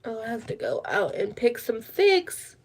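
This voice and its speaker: A woman's voice: a little high, sing-song, unhurried, rough recording. A woman hosting a casual livestream, chatting in a rambling way and repeating her words. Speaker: livestream host.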